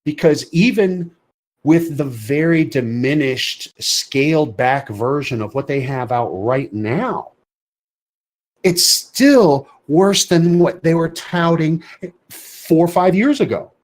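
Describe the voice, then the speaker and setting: A man's voice: fairly high pitch, lively intonation, takes it slowly. A man co-hosting an opinionated gaming podcast, emphatic, stumbling into sentences and repeating phrases to hammer a point. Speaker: podcast co-host. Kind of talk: heated opinionated discussion of a video game.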